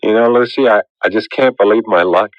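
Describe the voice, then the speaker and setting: An actor playing an earnest, stubborn young farmer in an old-time radio drama, with a hesitant, folksy way of talking. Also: male voice, lowish voice, brisk, no room sound, close to an everyday voice. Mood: eager. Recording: dull, lo-fi radio drama recording.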